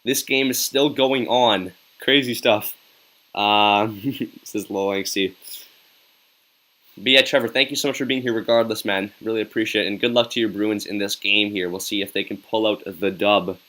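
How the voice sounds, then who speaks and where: A young man runs a casual live stream, reading out viewer comments and hyping his chat with a steady stream of "yo". His voice is bright and forceful, pitched slightly low. He keeps a loose, friendly, talkative energy throughout. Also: normal speed. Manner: upbeat and chatty, says "yo" a lot, hypes up viewers. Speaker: live-stream host reacting to a hockey game.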